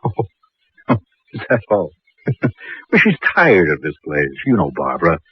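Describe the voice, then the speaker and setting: An actor playing an anxious camp leader in an old radio horror drama, with a husky voice. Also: male voice, deep voice, huge pitch swings, a little slow, dry recording, highs cut off, heavily acted. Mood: dismissive, uneasy.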